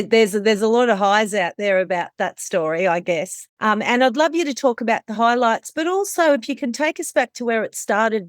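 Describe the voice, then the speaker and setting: Woman podcast host, chatty and admiring, mid pitch, dry sound. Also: no echo. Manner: warm, admiring, chatty questions with hesitations. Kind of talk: interview host.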